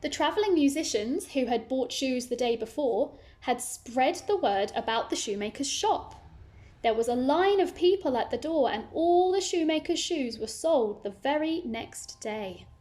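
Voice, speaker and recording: A woman's voice: sits high, expressive, normal speed, a little mellow. A woman reading a children's story aloud, narrating expressively and adding simple asides for young listeners.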